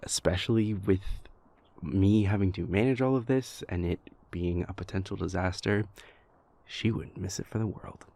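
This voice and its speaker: A man playing an anxious, self-doubting vampire prince who confides in his lover in an intimate audio roleplay, stammering and repeating himself in a husky, gravelly voice. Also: sits low, mellow, no room sound. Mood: wryly amused. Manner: halting, stammering, repeats words, hesitant.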